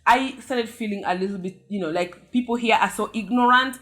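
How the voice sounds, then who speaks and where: A Kenyan woman vlogger telling a story to her viewers in a lively, chatty way, with frequent exclamations. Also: on the high side, fairly quick.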